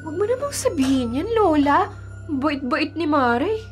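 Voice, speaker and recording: A teenage schoolgirl in a radio drama, very high and youthful in voice. She sounds familiar and trusting, over soft music. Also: sing-song, normal speed. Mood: worried disbelief. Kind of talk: Filipino radio drama acting. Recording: music bed underneath.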